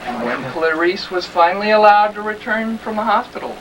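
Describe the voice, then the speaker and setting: A man's voice: high voice, expressive, fairly quick. A man narrating a children's story in a mock-serious, melodramatic theatrical style. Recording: distant, very noisy live stage recording.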